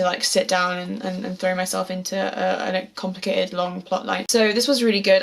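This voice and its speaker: A young woman with a mid-pitched voice talks through her recent reads as a book vlogger. Her throat is sore, so her voice sounds a little hoarse. She stays chatty and enthusiastic, doubling up words for emphasis. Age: young adult. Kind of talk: casual book chat to camera.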